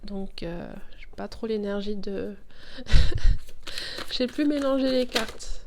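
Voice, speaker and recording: A chatty woman talks through a tarot spread at a moderate, mid-height pitch, thinking aloud as she goes. A cold thickens her voice, and a faint hiss with light clicks sits behind her. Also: expressive, slow, creaky, darkish tone. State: has a cold. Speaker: tarot reader. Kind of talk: casual tarot reading to camera.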